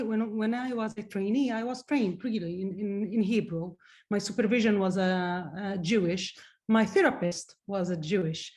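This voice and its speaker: A woman's voice: fairly high pitch, a little mellow. A woman whose first language is Arabic, a therapist speaking earnestly in a group discussion, searching for words and repeating phrases.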